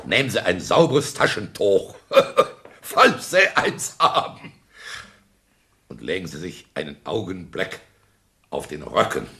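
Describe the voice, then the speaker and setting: A sardonic schoolmaster in a radio play. His voice is low and bright, with lively swings of pitch and a curt, dry manner. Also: takes it slowly, heavily acted. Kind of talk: German radio play acting.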